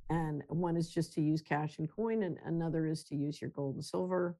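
Woman, low-pitched, mellow, measured explainer, remote interview guest. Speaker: guest, runs a financial company. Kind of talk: conversational interview.

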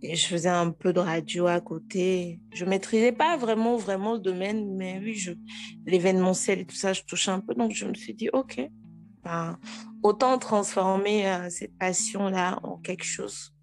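A woman's voice: mid-range voice, moderate pace, faint music behind. A woman in her thirties with a Togolese French accent, a guest telling her own story frankly and with animation. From Togo. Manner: frank and animated, with frequent restarts. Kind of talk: casual interview.

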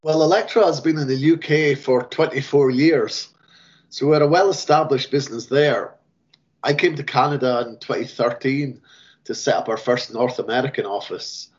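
A man giving a measured, rehearsed promotional talk about his training business. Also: medium pitch, lo-fi sound. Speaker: sponsor business representative.

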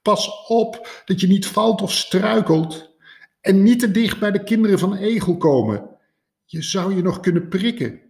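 A man doing a fretful, high-pitched rabbit dad. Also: measured pace, vocal fry, darkish tone. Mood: fussing. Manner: fretful, scolding. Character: anxious fussy male rabbit father. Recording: roomy echo.

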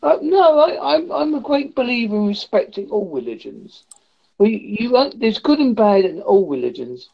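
A man in his fifties talking calmly and thoughtfully on a video call. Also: sits high, vocal fry, lo-fi sound. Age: 50s. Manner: calm, measured, reflective. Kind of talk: casual conversation.

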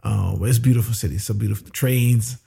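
Gravelly, husky New man. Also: fairly low pitch, fairly even intonation, quick pace, mellow. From New York. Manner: easygoing, with many "uh" fillers. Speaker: podcast guest. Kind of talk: casual interview chat.

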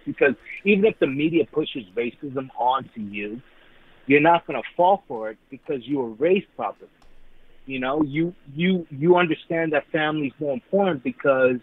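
A man's voice: mid-range voice, no echo. A man from New York calling in to a talk show, speaking hesitantly with many restarts and fillers. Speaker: caller. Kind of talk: call-in radio talk. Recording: tinny phone line.

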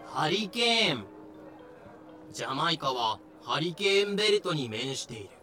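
A man narrating as a deadpan explainer in an audio drama, giving clipped, dictionary-like notes. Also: on the high side, normal speed. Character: deadpan explainer of historical terms. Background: clear background music.

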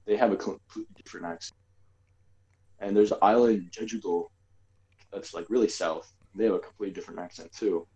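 A man with a low, mellow voice co-hosts a casual podcast chat. He rambles, circles back and hedges as he explains things. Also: fairly quick, pauses often, highs cut off. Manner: rambling, filler-heavy, hedging. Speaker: podcast co-host.

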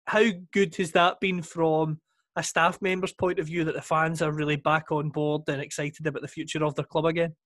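A man interviewing a guest, asking measured, prepared questions. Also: fairly high pitch, level tone, fairly bright tone.

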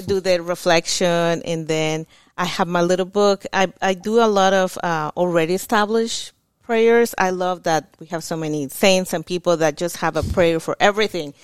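A woman's voice: lowish voice, clear, resonant tone, dry recording. A woman from Mexico, a Spanish speaker, talking as a guest on a podcast in a chatty way with frequent fillers. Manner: chatty, laughs often, many fillers. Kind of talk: casual podcast interview.

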